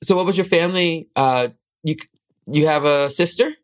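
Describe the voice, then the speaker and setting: A woman's voice: low voice, normal speed. A woman hosting a casual interview, prompting her guest with short questions and laughing along. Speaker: host.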